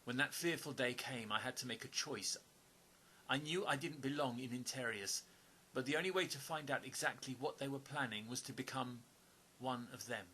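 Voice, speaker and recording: A man acting in an audio play as an observant stranger with a hidden past, speaking in an earnest, deliberate, sometimes hesitant way. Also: medium pitch, bright, forceful tone, a little hiss or hum, lightly acted. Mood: earnest, confiding.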